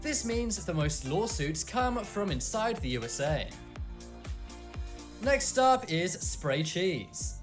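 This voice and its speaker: A voice-over narrator reading a scripted list video in a bright, sing-song delivery. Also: medium pitch, sing-song, slow, faint music behind.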